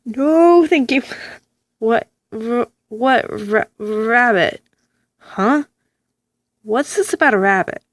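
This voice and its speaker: A woman reading a story game aloud, with animated reactions and stammered asides. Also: a little high, takes it slowly, pauses often, no echo. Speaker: let's play reader.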